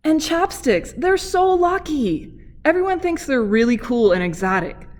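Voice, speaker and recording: Woman voicing a wistful, higher-pitched little boy spoon. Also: sing-song, unhurried, a little mellow. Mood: wistful envy. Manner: wistful, complaining. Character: glum young boy spoon, envious and self-pitying.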